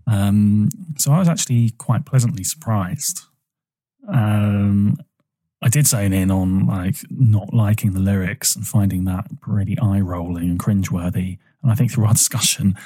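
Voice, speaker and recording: A man co-hosting a podcast, giving a measured, thoughtful album review with frequent ums. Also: fairly low pitch, warm tone, dry recording. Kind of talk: casual review chat.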